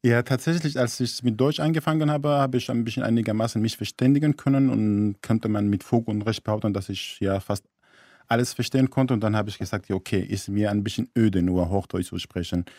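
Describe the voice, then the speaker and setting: A man's voice: lowish voice, a little fast, darkish tone, dry recording, clean studio sound. A man from Syria, a radio guest, explaining things carefully with small slips in his German. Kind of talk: radio interview.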